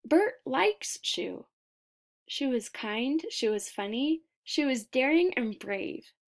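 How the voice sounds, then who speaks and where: Slow-paced, higher-voiced woman reading a storybook. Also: lively intonation, gravelly. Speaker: picture book reader. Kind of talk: expressive children's read-aloud narration.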